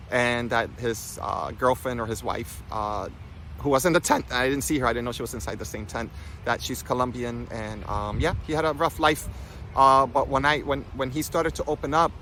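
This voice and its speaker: A man giving an informal spiritual talk with personal stories, in an animated, conversational manner. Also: medium pitch, a little hiss or hum. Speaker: spiritual healing coach and vlogger.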